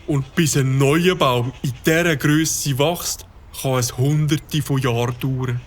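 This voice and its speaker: A wordy old-fashioned bird-watcher. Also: male voice, medium pitch, steady tone, slow pace. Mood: earnest. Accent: Swiss German. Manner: pompous, long-winded lecturing. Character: fussy bird-watcher man, learned, fond and wordy.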